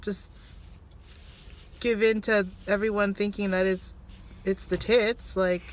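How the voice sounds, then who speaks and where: A woman chatting casually about a film in an offhand, halting, noncommittal way. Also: medium pitch, fairly even intonation, slight background noise. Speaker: film reviewer on a video channel. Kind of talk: casual chat.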